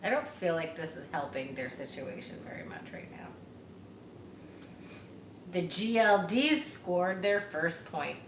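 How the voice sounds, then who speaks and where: Female children's storyteller, unhurried and expressive, roomy echo, slightly distant from the mic. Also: lowish voice, slight background noise. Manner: expressive, acts out dialogue and pauses to explain. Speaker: children's book reader. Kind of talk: animated read-aloud with casual asides to young listeners.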